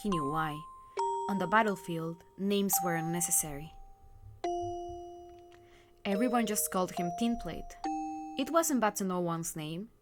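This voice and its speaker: A woman with a fairly low voice narrates a visual novel. Her storytelling is scripted, measured and somber. Loud music plays underneath her voice.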